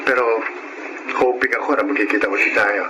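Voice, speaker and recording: An actor playing a cheerful, friendly former classmate in a Filipino radio drama, affable, with a Filipino accent. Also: male voice, lowish voice, fairly even intonation, fairly quick, lightly acted. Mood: warm, eager. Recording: noisy radio drama audio.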